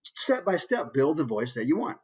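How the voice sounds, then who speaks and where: American male presenter, slightly husky mid-range voice, informal and conversational teaching. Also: on the high side, sing-song, normal speed, darkish tone. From United States. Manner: conversational, demonstrates as he explains. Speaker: voice actor giving a presentation. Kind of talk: informal instructional talk.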